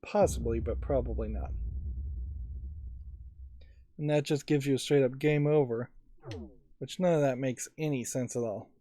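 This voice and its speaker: Mellow man musing over a video game. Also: mid-range voice. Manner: analytical, measured, musing. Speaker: let's play host. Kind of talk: casual reflective gameplay commentary. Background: game music.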